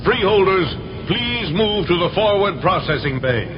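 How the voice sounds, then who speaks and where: A man's voice: high voice, expressive, a little slow. An actor playing a formal male spaceliner announcer giving procedures in a 1970s radio drama. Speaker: ship announcer. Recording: dull radio broadcast under loud music.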